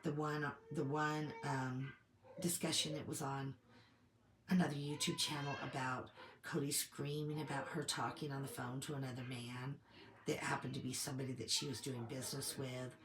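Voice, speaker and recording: A woman with a low voice, a little hoarse from a lingering cold, talks through her opinions in a measured, thinking-aloud way. She sounds like a casual commentary host. The recording carries a roomy echo. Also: level tone, noisy background. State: getting over a cold, slightly hoarse.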